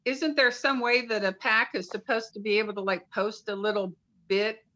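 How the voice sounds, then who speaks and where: A woman's voice: medium pitch, fairly even intonation, normal speed, bright, forceful tone, dull audio. A woman from a paddling club asking questions on a video call, earnest and restarting her sentences.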